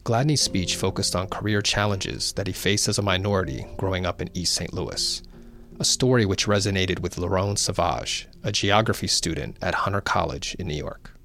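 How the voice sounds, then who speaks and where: A man reading scripted narration in a measured, even way. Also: low-pitched, creaky. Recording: voice-over with music behind.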